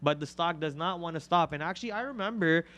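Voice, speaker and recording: A man's voice: fairly high pitch, lively intonation, fast, fairly bright tone. A man hosting a live stock trading show, chatting and joking casually while calling out chart levels.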